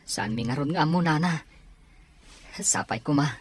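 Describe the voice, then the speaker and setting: A man as a husky, respectful young son. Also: on the high side, moderate pace. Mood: worried, grateful. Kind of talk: audio drama dialogue.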